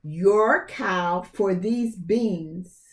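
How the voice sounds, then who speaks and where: A woman reading a storybook, voicing a sly old man who coaxes and persuades in drawn-out phrases. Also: medium pitch. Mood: coaxing.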